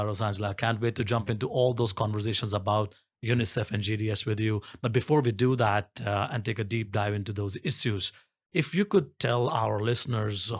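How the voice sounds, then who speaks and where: A man hosting a podcast interview from a studio, speaking in a friendly, welcoming manner. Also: fairly low pitch. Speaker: podcast host. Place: recording studio.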